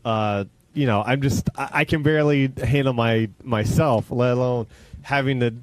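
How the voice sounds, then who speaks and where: A man chatting casually and defensively on a radio show, speaking haltingly and often trailing off. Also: medium pitch, no echo. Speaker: radio show staffer on a wrap-up show. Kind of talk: casual, defensive personal chat. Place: radio studio.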